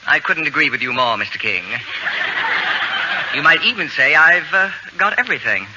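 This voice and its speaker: A man's voice: fairly high pitch, expressive, normal speed, lightly acted. A man playing a haughty, pompous genius babysitter with precise, formal diction, in 1940s radio comedy style. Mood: stern, smug. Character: haughty, fastidious self-proclaimed genius male babysitter. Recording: dull, noisy old radio broadcast.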